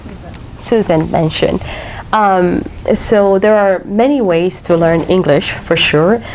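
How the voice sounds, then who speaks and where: A woman's voice: fairly low pitch, slow pace, darkish tone, slight background noise, low-quality recording. A woman from Africa with an Eritrean accent, speaking as a guest author at a library talk, laughing often and repeating words for emphasis. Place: public library room.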